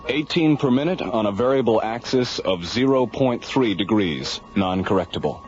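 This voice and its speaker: Male actor as lively space station controller, dull audio. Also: on the low side, moderate pace, slight background noise, close to an everyday voice. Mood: businesslike. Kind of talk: radio drama acting. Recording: dull radio recording with music behind.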